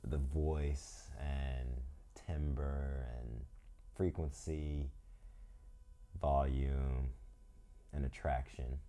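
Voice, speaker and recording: A man with a croaky voice leading a gentle yoga class, talking slowly and reflectively as he thinks aloud. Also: extremely low pitch, fairly even intonation. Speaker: yoga instructor. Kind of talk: calm guided yoga instruction with musing asides.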